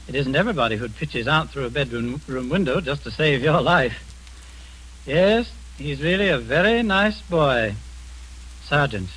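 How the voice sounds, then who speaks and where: An actor playing a genial, dryly amused intelligence agent, in 1940s radio acting on an old broadcast. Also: male voice, medium pitch, fairly bright tone, lightly acted, low-quality recording. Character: calm, wry British intelligence man, genial.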